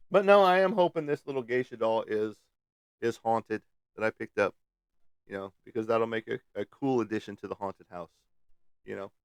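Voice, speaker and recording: A man's voice: on the low side, normal speed, long pauses, smooth, no echo, studio quality. A man hosting a casual chat who teases a viewer about sports and chuckles often as he talks. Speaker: host. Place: at a desk indoors.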